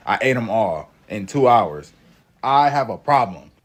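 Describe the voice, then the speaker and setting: A man's voice: fairly low pitch, sing-song, unhurried. A man chatting casually and confessing to an audience. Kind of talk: casual confessional chat to camera. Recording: faint background noise.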